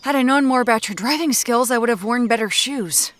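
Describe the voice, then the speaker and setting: An actress playing a brisk, guarded woman amateur detective in an audio drama, deadpan and curt. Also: fairly high pitch, lightly acted. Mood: annoyed, sarcastic.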